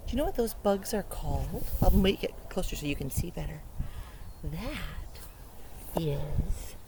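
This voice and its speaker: A woman's voice: fairly low pitch, lively intonation, measured pace, background noise. A woman reading a picture book aloud to young children outdoors, speaking playfully with wonder and asking the listener simple questions. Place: outdoors beside a lake.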